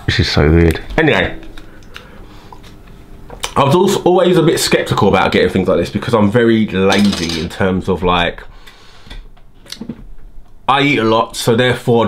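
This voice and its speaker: A man in his thirties with a slight lisp from new teeth aligners, chatting casually in a home vlog while fiddling with his teeth. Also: fairly low pitch. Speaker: vlogger. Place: home room by a window.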